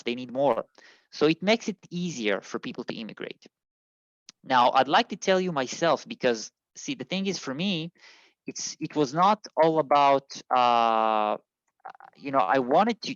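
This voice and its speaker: A man from Israel, an immigration consultant, answering interview questions in a halting way with many fillers and restarts. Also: mid-range voice, a bit bright, no room sound, dull audio.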